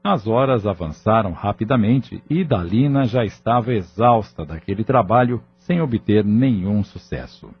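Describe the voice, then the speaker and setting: A man narrating a scripted audio novel in a measured, dramatic storytelling manner. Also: on the low side, lively intonation. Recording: muffled, lo-fi track with music. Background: clear background music.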